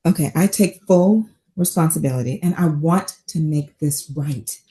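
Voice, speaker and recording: A woman playing a composed, apologetic doctor in a dramatic read, measured and clinical, in a roomy recording. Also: low voice, mellow, natural voice. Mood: placating, firm. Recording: roomy, echoing remote table-read audio.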